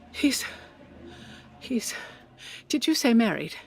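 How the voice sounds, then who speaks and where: An actress playing a wealthy, haughty 1920s widow with an arch, sardonic manner in an audio drama. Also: female voice, fairly high pitch, heavily acted. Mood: stunned. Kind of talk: period audio drama acting.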